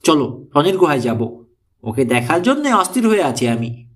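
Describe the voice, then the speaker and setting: A man's voice: a little high, sing-song, moderate pace. A man voicing an anxious, remorseful middle-aged woman in an audiobook, speaking in an earnest, confessional manner.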